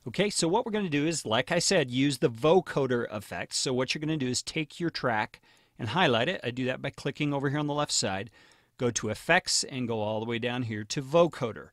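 A man narrating a software tutorial in a casual, plain, step-by-step way. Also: medium pitch, a little fast, no echo, clean studio sound. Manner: plain, informal step-by-step explaining. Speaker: tutorial host.

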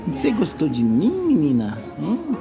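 Brief: older Brazilian man, chatty selfie vlogger, very animated pitch, music underneath. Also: a little slow, vocal fry. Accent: Brazilian Portuguese. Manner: chatty, easily delighted, talks to viewers. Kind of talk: casual vlog chat. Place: cat café indoors. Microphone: phone held at arm's length. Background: background music.

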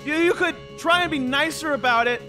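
A man's voice: very high voice, brisk, bright, forceful tone, faint music behind. A man voicing an anxious, whiny teenage boy in a cartoon comedy, hesitant and stammering. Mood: whiny, protesting. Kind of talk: animated comedy dialogue.

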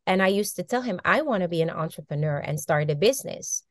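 A woman in her forties, a native Dutch speaker, telling her story with animated gestures over a video call from a bright room at home. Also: on the low side, fast talker, dry recording, studio quality. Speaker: guest, mindset coach. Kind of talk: casual video-call interview. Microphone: video call laptop mic.

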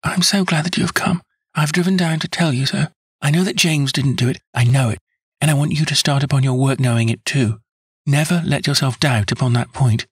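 A man's voice: fairly quick, slightly rough, dry recording, clean studio sound. A man reading an audiobook, voicing an earnest young woman who pleads insistently in a raised, eager voice. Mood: pleading. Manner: breathless, insistent, high and eager. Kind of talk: audiobook character voice.

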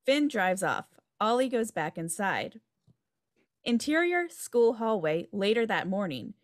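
A woman's voice: mid-range voice, big pitch swings, measured pace. Woman reading stage directions. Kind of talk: scripted narration at a table read. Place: indoor room, video call. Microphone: video call microphone.

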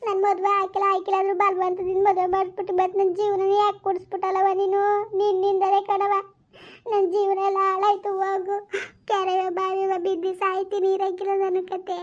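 A woman voicing a distraught, childless young wife who wails and complains in slow, tearful, drawn-out lines. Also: extremely high pitch, monotone, muffled recording. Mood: despairing. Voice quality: tearful, wailing. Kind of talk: village family drama acting.

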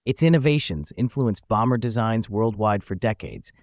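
A man narrating a scripted aviation history video in an even, factual reading. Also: on the low side, normal speed, dry recording, clean studio sound.

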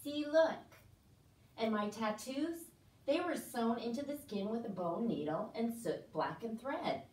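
A woman's voice: a little high, animated, a little slow, darkish tone. A woman voicing a proud, cheerful puppet woman who explains things to an audience with exclamations and questions in an educational show. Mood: proud, explaining. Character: proud, cheerful Chugach Sugpiaq woman puppet in fur parka. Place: indoor room, puppet stage. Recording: roomy, distant mic, faint noise.

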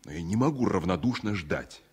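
An anxious, devoted engineer in a vintage Soviet radio drama. The voice is fairly deep and husky. He speaks thoughtfully and with measured earnestness. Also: animated, full character voice. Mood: anxious, determined. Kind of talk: 1970s Soviet radio play acting.

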